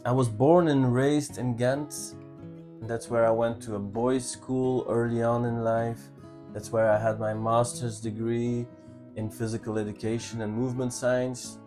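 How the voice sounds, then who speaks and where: Flemish guy in his 30s telling his story, slow and earnest, stops and restarts a lot. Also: mid-range voice, fairly even intonation, darkish tone, soft background music. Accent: Flemish Belgian. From Belgium. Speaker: channel co-host. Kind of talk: personal life story, casual talk.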